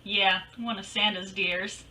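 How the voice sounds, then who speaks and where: A woman's voice: mid-range voice, fairly even intonation, measured pace, bright, forceful tone, poor audio. A woman playing a breezy, wisecracking private detective in an old-time radio drama, with a sassy, slangy delivery. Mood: wry, teasing.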